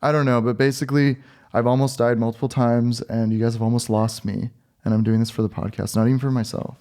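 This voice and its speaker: A man chatting casually as a podcast co-host, joking with deadpan exaggeration. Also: mid-range voice, quick pace. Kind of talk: casual comedic banter.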